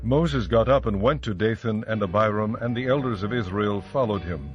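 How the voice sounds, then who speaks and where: Slightly muffled man narrating bible audiobook, solemn and measured, soft music under. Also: on the low side. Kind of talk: scripted dramatized Bible narration. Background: faint music.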